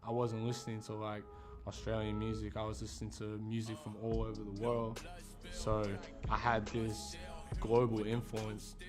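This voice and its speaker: A man's voice: on the low side, completely flat delivery, normal speed. A young man, a rapper, earnestly explaining how he sounds. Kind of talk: interview. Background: music playing.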